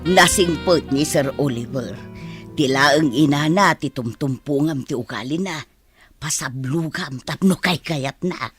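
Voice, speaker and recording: A woman's voice: low voice, measured pace, soft background music. A woman playing an older, plain-spoken housekeeper in a radio drama, blunt and motherly. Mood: narrating thoughts. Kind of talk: melodramatic radio drama acting.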